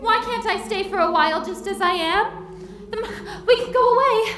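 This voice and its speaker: A woman's voice: extremely high pitch, expressive, moderate pace, noisy background. An actress playing a frightened young bride who pleads tearfully with her father. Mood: pleading. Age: young adult. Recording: echoey vintage film soundtrack with score.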